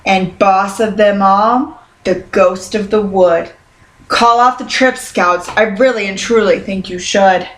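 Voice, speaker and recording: A woman voicing a sly father bear in a children's storybook, with drawn-out, dramatic warnings. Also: medium pitch, steady tone, lightly acted. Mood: mock-spooky warning. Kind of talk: children's storybook read-aloud. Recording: roomy, echoing sound.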